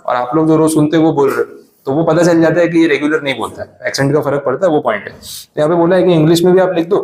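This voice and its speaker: A man lecturing a class in an Indian accent, mixing in English, explaining with everyday asides and reading legal text slowly. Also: on the high side, darkish tone. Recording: echoey classroom.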